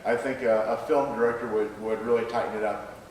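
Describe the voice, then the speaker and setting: A man speaking as a screenwriter in a live question-and-answer session, with a halting, hesitant delivery. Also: on the low side, fairly even intonation. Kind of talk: live Q&A after a staged reading. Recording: echoey room, distant mic, faint noise.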